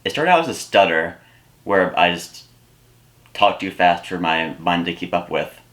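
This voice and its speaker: Casting: man playing awkward, earnest comedy-sketch patient, deadpan and wordy, crisp bright tone. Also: deep voice, a little slow, pitched below the performer's own voice. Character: earnest, awkward male speech therapy patient.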